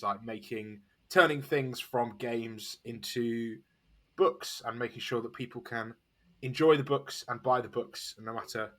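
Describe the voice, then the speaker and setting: Rambling young man, mid-pitched. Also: a bit bright. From England, East Midlands. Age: 20s. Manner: rambling and self-correcting, with frequent "uh" and "like". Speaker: guest game designer. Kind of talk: casual podcast interview.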